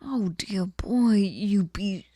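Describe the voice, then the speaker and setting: Woman as obsessive sweet fairy for ASMR roleplay: soft mellow tone, unhurried, coaxing and whispery, close and dry. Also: medium pitch, no echo. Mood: pleading, lonely. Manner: breathy cooing, often dropping into whispers, coaxing. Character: sweet, clingy, possessive female fairy hostess, lonely and obsessive. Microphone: close microphone, dry sound.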